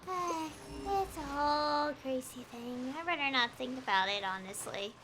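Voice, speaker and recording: A woman chatting casually as she livestreams a video game, halting and often circling back to rephrase herself. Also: sits high, a bit bright, rough recording. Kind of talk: casual rambling chat while gaming. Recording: clear background noise behind stream voice.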